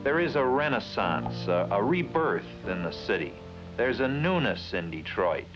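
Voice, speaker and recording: A man's voice: lowish voice, big pitch swings, a little slow. A man narrating an old promotional film in a grand, declamatory style. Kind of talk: vintage documentary narration. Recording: dull lo-fi archive film sound with music.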